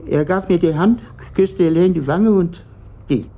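An actor playing a bitter, uneasy middle-aged company director answering questions haltingly in a German radio play. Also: male voice, high-pitched, lo-fi sound. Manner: hesitant, evasive, halting.

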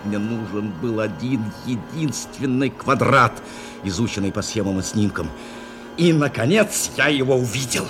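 An actor playing a grave, intense bomber crewman who recalls a wartime raid in measured, dramatic radio-play narration. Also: mid-range voice, lightly acted. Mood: grim, bitter. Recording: 1970s radio drama with loud music bed.

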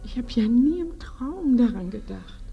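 An actress in a radio play voicing a bashful, flustered village bride-to-be who is devout and humbly grateful, hesitant and often close to whispering. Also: female voice, a little high, expressive, unhurried, gravelly, highs cut off, faint music behind.

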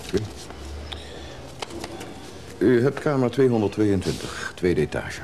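An actor playing a courteous, formal hotel receptionist in a radio drama. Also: male voice, lowish voice, sing-song, unhurried, mellow. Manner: polite, formal. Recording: noisy radio play recording.

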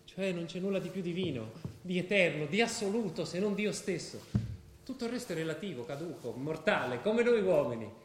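A devout, mystical convert to Islam, speaking in a high register. He explains belief earnestly and didactically in a stage drama, with audible room echo around the voice. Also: animated, a little fast. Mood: fervent, explanatory. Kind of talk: theatrical radio drama dialogue. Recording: roomy, echoing stage sound.

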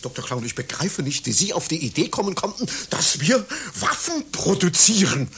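An actor playing an exasperated, defensive company director in a satirical radio play, speaking in a clipped, emphatic, businesslike way. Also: male voice, a little high, big pitch swings, normal speed, fairly bright tone, a little hiss or hum. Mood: indignant.

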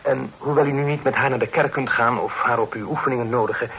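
An actor playing a tactful, polite nephew in a radio play, speaking formally and deferentially, like a diplomat. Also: male voice, mid-range voice, fairly even intonation, fast, gravelly, natural voice. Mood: gently persuasive. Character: tactful, polite nephew soothing his pious aunt. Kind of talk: Dutch radio play acting. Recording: hissy old radio play recording.

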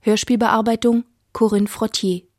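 A woman's voice: fairly high pitch, flat delivery, unhurried, darkish tone, dry recording, clean studio sound. A woman announcer reading scripted radio play credits.

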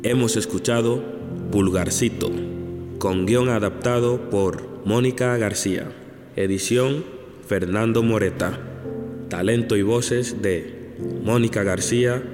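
Slow, low-voiced male credits announcer. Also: steady tone. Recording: long reverb, music underneath.

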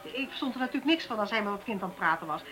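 A woman playing a brisk, inquisitive and sympathetic police detective in a naturalistic radio drama. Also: mid-range voice, lively intonation, a bit bright, soft background music, lightly acted, rough recording. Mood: pondering. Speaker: actor in a radio play.

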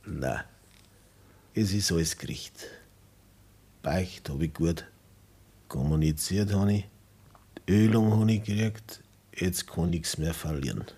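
An actor playing a dying peasant man in a 1960s radio play, speaking in a quiet, resigned way. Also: low-pitched, slow, long pauses, slightly rough, full character voice. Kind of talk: 1960s radio drama acting.

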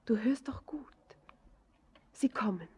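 An actress playing a dreamy, tender young miner's daughter in a German radio play, lyrical and often lapsing into whispers. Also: female voice, a little high, expressive, takes it slowly, slightly rough, exaggerated voice. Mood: wondering, dreamy.

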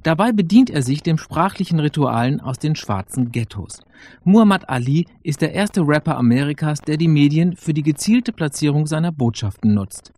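A man's voice: fairly high pitch, animated, normal speed, a little mellow. A man reading scripted radio narration as the presenter introducing a radio play.

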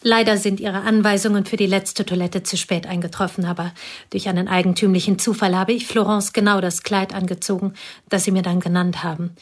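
A woman playing a reserved schoolteacher, the dead wife's confidante, in a radio play, speaking in a calm, measured way. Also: mid-range voice, close to an everyday voice. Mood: restrained. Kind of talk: radio drama acting.

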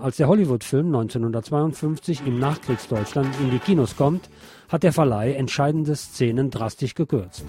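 A man's voice: mid-range voice, warm tone. A man narrating a scripted documentary.

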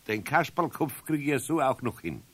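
A man's voice: mid-range voice, animated, unhurried, a bit bright. A man playing a kindly, good-humoured older master carpenter in a radio play, with a Bavarian accent and a homely, chuckling manner. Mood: amused, coaxing. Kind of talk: 1970s children's radio play acting.

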